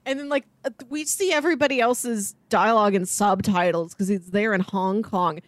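A woman co-hosting a casual film discussion in a chatty, easygoing way, quick to laugh. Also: mid-range voice, dry recording. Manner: chatty, laughs readily while talking. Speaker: podcast co-host.

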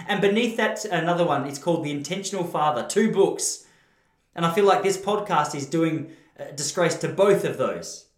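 A roomy, reverberant recording of an Australian man with a voice pitched a little high. He hosts like a storyteller doing a comic monologue. His delivery rambles, often breaking off and restarting as he goes. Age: adult, married. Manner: rambling, self-interrupting, acts out dialogue. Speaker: podcast host. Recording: roomy, echoing room sound.

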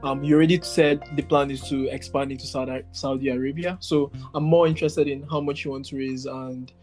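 A man's voice: medium pitch, steady tone, brisk, a little mellow, soft background music. Male interviewer, mid-range voice. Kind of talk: conversational interview questions.

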